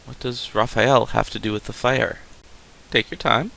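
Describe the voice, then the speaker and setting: An actor playing a calm, probing male doctor in a video game, measured and questioning as he counsels a patient. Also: medium pitch, gravelly, rough recording. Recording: faint steady hiss.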